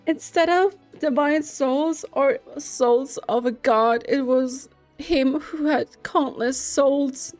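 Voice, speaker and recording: A woman streamer giving casual, hushed, awed live reactions while playing a game. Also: sits high. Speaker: gaming streamer.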